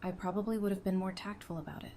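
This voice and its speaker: A woman's voice: medium pitch, steady tone, fast, warm tone, natural voice. Actress as a candid, casual woman, noisy background. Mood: tender, confessional. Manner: casual, frank, conversational. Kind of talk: fan audio drama acting.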